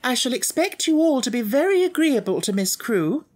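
A man's voice: very high voice, big pitch swings, moderate pace, darkish tone, voice pushed up, full character voice. A man voicing a severe, imposing headmistress for an audiobook, stiff, formal and tartly polite. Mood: dignified, commanding. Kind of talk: audiobook character voice.

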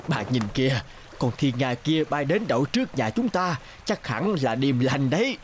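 An actor playing a kindly, joyful old fisherman in a cartoon fairy tale, gentle and eager. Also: medium pitch, very animated, moderate pace, noisy background, natural voice. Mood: excited.

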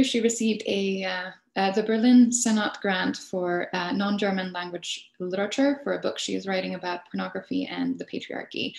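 A woman's voice: mid-range voice, vocal fry, reverberant, poor audio. A woman chairing a video call panel, reading introductions from notes with frequent fillers. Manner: reads from notes, hesitant fillers. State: toothache.

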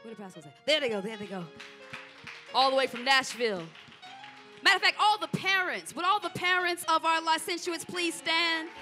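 A woman's voice: very high-pitched, moderate pace, sharp tone. A woman pastor hosting a church service on a handheld mic, exuberant, calling out to the congregation for responses. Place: church stage, indoor. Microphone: handheld microphone. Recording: live church audio with music behind.

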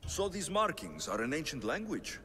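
An actor playing a stoic, wry ancient Greek mercenary with a curt, sceptical way of talking in a video game. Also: male voice, a little high, huge pitch swings, moderate pace, pushed and bright, in a large hall, slight background noise, heavily acted. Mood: questioning. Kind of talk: video game cutscene acting.

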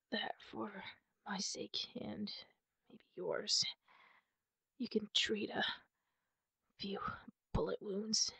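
A woman playing a wounded, guarded and prickly masked superheroine in an ASMR roleplay, half-whispering in a breathy, husky voice with halting, pained sighs. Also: mid-range voice, sharp tone, no echo, full character voice. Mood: pained, wry. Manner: halting, sighing, pained delivery.

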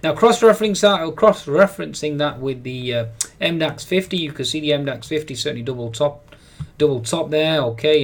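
Male market commentator, mid-pitched, halting and filler-laden daily update. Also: slight background noise. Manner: hesitant, many "uh" fillers, repeats "certainly". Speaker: market analyst. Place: voice-over on screen recording.

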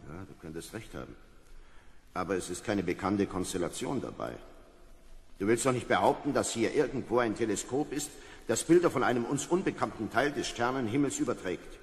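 A man's voice: on the low side, animated, brisk. A man playing a stern, commanding spaceship officer in a German audio drama, with brusque, authoritative diction. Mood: puzzled, reasoning. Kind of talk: 1970s German children's audio drama acting. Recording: reverberant vintage audio drama.

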